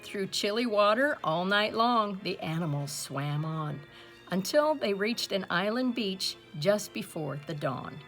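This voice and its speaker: A woman reading a rhyming picture book aloud as an expressive storyteller. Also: medium pitch, a little slow, faint music behind.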